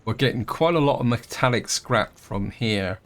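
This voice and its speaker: A man, croaky from a throat infection, casually thinking aloud as he plays through a strategy game, with lots of fillers and restarts. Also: medium pitch, animated, moderate pace, fairly bright tone, faint music behind. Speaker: gameplay host. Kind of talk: casual let's-play commentary.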